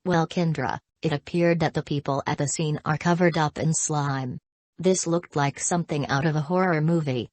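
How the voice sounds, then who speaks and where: Female news field reporter, played by a woman: deep for a woman, dry sound, serious, measured, scripted. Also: fairly even intonation, darkish tone, dry recording. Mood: composed, serious.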